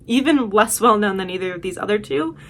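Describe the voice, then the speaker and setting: A woman's voice: medium pitch, expressive, brisk, fairly bright tone. A woman talking casually as a video host, giving chatty, emphatic and enthusiastic recommendations.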